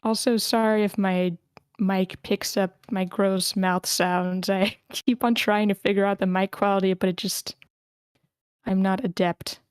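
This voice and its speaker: A woman's voice: medium pitch, steady tone, unhurried, clear, resonant tone, no room sound, studio quality. A woman hosting a screenplay table read, switching between informal, encouraging chat and narration of stage directions. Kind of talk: casual hosting and script narration.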